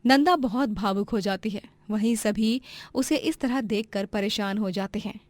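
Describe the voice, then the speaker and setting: A woman's voice: mid-range voice, measured pace, darkish tone, dry recording. A woman narrating a scripted moral story as the storyteller.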